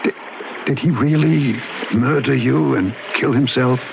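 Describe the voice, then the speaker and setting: A man's voice: medium pitch, a little slow, vocal fry, noisy background. An actor playing a troubled, haunted man in a radio ghost story, with earnest, dramatic delivery and literary phrasing. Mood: shaken. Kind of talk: radio drama acting and first-person narration. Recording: muffled old radio recording, music behind.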